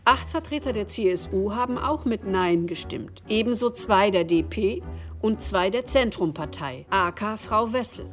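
A woman with a medium-pitched voice co-narrates a radio documentary. Her scripted commentary comes as part of a dialogue, set over music. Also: animated, normal speed.

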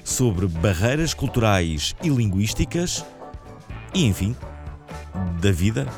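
A man with a low, animated voice reads scripted narration. His delivery is playful, and music plays clearly behind him. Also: takes it slowly. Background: background music.

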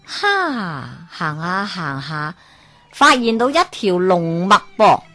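A woman with a Hong Kong Cantonese accent tells a folk tale in a lively way. She often turns to the listener with rhetorical questions. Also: lowish voice, slow pace, faint music behind. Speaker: storyteller.

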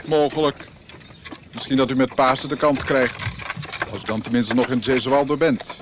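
An actor playing a guarded middle-aged monk in a radio play, speaking in terse, measured, insinuating lines. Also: male voice, medium pitch, fairly even intonation, natural voice. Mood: guarded, sly. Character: guarded, cryptic monk of about forty, quietly menacing. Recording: noisy old radio drama, outdoor effects.

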